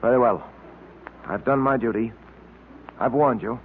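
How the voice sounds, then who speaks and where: An actor playing a stern, insistent detective with a firm, probing manner in old-time radio drama. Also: male voice, mid-range voice, measured pace, natural voice. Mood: exasperated, warning. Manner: firm, interrogating. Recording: tinny, hissy old-time radio recording.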